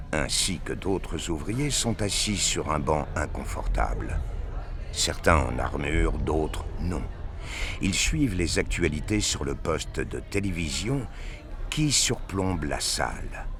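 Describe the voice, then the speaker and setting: A man narrating a science fiction audio drama from a script in a measured, descriptive manner. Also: very deep voice.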